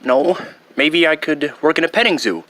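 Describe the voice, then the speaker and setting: A man's voice: fairly high pitch, animated, moderate pace, sharp tone. A man voicing a whiny, sullen teenage son of a villain in a comic film impression. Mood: hurt, sullen. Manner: whiny, complaining.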